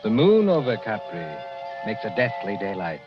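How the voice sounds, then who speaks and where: Low, grave radio mystery narrator. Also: male voice, very animated, normal speed. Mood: ominous, measured. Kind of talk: scripted narration, 1940s radio acting. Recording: muffled old radio recording. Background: music.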